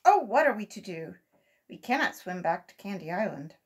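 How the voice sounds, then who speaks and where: A kindly old gentleman rabbit from a children's storybook, as a woman reader puts him on. He is courteous and expressive, with lively rises and falls and a slightly husky edge. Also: mid-range voice, moderate pace. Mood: dismayed.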